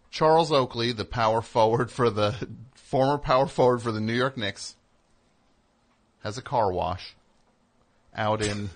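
Bright-voiced male radio host. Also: medium pitch. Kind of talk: casual call-in talk and comedic reading aloud.

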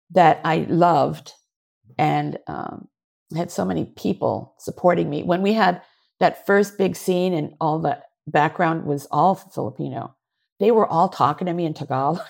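A woman actor being interviewed, telling lively stories, often laughing and briefly acting out voices. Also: on the low side, creaky. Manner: animated, laughing, acts out voices and gestures. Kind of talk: conversational interview storytelling.

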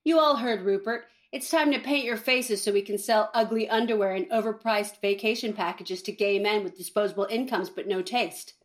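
A woman's voice: medium pitch, steady tone, close to an everyday voice. A woman playing a callous, cynical reality-show producer with a sardonic manner in a campy comedic audio drama. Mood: breezy, callous. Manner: sardonic, brisk, shady.

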